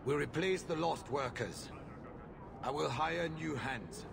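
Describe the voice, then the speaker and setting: A man voicing a shrewd, money-minded mine owner with a brisk, dealmaking manner in a video game. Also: fairly high pitch, gravelly, noisy background, heavily acted. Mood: businesslike.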